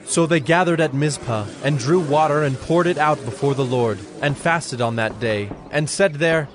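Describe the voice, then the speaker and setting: A man narrating scripture from a script in a measured, solemn storytelling manner. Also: on the high side, crowd noise.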